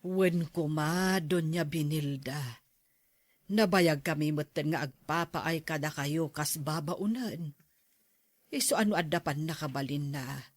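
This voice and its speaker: A woman's voice: deep voice, unhurried, dry recording. An actress playing a humble, motherly older housemaid and nanny in a radio drama, hesitant and deferential. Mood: timid, deferential. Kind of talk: Ilocano radio drama acting.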